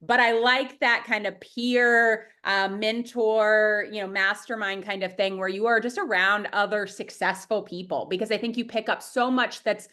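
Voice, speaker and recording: A woman's voice: medium pitch, steady tone, normal speed, few pauses, bright, forceful tone. A woman with a head cold, sounding a little sniffly, talking enthusiastically and openly as a dietitian guest on a video call. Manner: enthusiastic, open and talkative. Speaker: dietitian running private practices, guest. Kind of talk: interview answers over video call.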